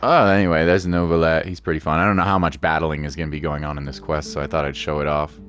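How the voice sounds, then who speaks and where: A man's voice: a bass voice, flat delivery, fast, fairly bright tone. A man hosting a gaming livestream, chatting casually and thinking aloud in an informal, rambling way as he comments on his play. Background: game music.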